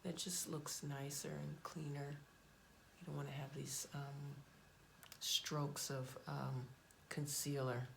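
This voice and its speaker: A hoarse woman talks casually through a makeup tutorial, her voice low and full of gravelly fry. She is blunt and chatty, stopping and restarting as she goes. Faint hiss sits under the recording. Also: flat delivery, takes it slowly. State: hoarse, voice cracking. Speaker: beauty vlogger. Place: home.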